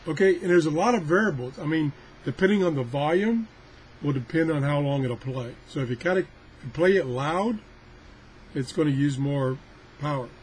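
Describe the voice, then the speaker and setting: A man's voice: fairly high pitch, animated, normal speed, crowd noise, rough recording. A man giving a casual hands-on product demonstration as a video reviewer, rambling and thinking aloud. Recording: weather radio chattering in the background.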